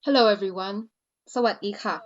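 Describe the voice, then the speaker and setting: A woman's voice: medium pitch, brisk. A woman from Thailand, a guest on a casual podcast, talking at length and thinking aloud with "uh" and "you know". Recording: dull lo-fi sound.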